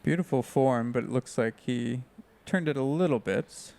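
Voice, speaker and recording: An American man commentating on a disc golf final in a relaxed, easygoing way. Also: medium pitch, moderate pace, vocal fry. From United States. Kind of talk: sports commentary.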